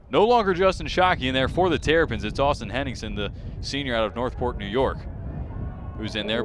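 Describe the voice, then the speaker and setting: Male play-by-play announcer over faint background noise. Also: medium pitch. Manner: excitement rises sharply on big plays. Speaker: play-by-play sports commentator. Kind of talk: live sports play-by-play commentary.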